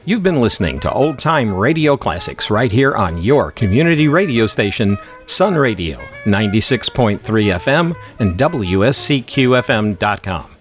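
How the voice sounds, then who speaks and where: A man's voice: on the low side, big pitch swings, moderate pace. A man giving a scripted programme sign-off as a community radio announcer. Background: light music.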